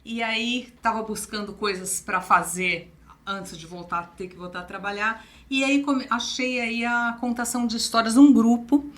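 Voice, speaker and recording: A woman's voice: a little high, normal speed. An older woman, a retired storyteller, chatting as a podcast guest and repeating key phrases for emphasis. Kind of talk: casual interview chat.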